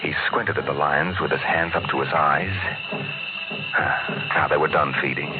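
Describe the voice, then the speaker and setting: An actor playing a grave, theatrical storyteller, narrating in dramatic 1950s radio style. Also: male voice, rumbling low, steady tone, moderate pace. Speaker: narrator and announcer. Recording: tinny vintage radio recording, music.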